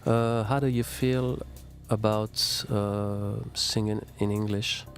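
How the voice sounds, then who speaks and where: Low-voiced man interpreting on radio. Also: little pitch movement, soft background music. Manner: halting in English, quick in French.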